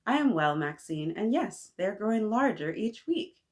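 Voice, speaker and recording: A woman voicing a kindly, proud neighbor lady in a picture book, measured and courteous in a motherly way. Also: medium pitch, sing-song, a little mellow, pitched above the performer's own voice, close to an everyday voice. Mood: proud, friendly.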